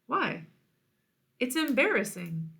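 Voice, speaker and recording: A woman's voice: high voice, big pitch swings, takes it slowly, gravelly, sharp tone, pitched above the performer's own voice, exaggerated voice. A woman voicing a blunt, easily bored and indignant female vulture in a storybook.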